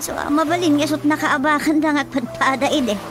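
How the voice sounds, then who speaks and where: A woman's voice: sits high, fairly quick, over music, natural voice. A woman acting a frank village daughter advising her father in a radio drama, with an Ilocano accent. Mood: frank, persuasive.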